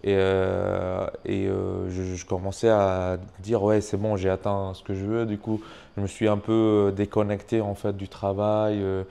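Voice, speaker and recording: A lowish-voiced young man talks in a relaxed interview, his French carrying a foreign accent since it is not his first language. He hesitates often, restarting phrases and leaning on little fillers as he explains himself. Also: measured pace, vocal fry, clean tone. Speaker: YouTuber and online business coach. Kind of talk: casual interview conversation.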